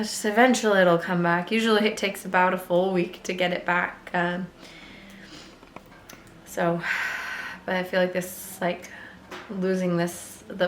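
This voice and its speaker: Slightly hoarse woman chatting in an echoey room. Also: fairly low pitch, a little hiss or hum. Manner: chatty and rambling, with frequent fillers and light laughs. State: slightly hoarse, voice still recovering. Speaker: knitting vlogger. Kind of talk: casual chat to camera.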